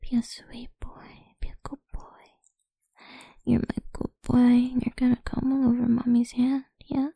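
A woman speaking in a soft, coaxing, mostly whispered voice for an intimate ASMR roleplay. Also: on the high side, little pitch movement, unhurried, creaky, breathy edge, dry recording, clean studio sound.

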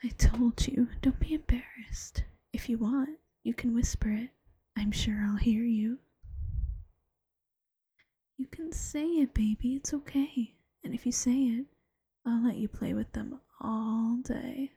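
A doting bunny-girl girlfriend, played by a woman, coaxes and teases in a slow, breathy whisper. Her hushed tone is dark and mellow, pitched a little high. The sound is close and dry, with no room around it. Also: no echo, exaggerated voice. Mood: teasing, coaxing. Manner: slow, coaxing and teasing. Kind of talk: ASMR roleplay.

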